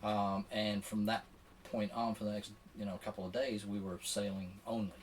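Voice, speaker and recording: A man chatting casually and telling a story as an interview guest, with a hesitant, halting delivery. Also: fairly low pitch, flat delivery. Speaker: podcast guest, sailor. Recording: distant mic with faint background noise.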